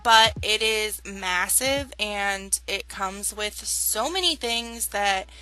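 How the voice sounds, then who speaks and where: A young woman chatting casually over a game build, a little hoarse from a cold, with lots of fillers. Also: mid-range voice, slow pace, sharp tone, low-quality recording. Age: young adult. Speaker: Sims builder and channel host. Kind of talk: casual speed-build commentary.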